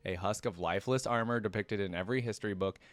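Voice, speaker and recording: Excitable man reacting to a story. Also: fairly low pitch, fast talker, no room sound. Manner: excitable, many fillers like "like". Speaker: reaction channel host. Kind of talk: reading a story aloud and reacting.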